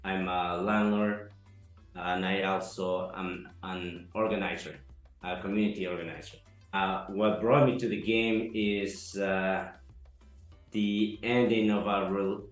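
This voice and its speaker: A man from Ecuador, giving an earnest, halting testimonial interview full of fillers. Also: sits low. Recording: echoey room, soft music behind.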